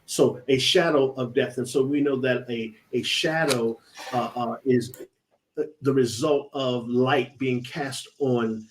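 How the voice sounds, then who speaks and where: A man's voice: medium pitch, steady tone, a little slow, slight background noise, rough recording. A man who is a reverend, reflecting earnestly on prayer in conversation and repeating words as he gathers his thoughts.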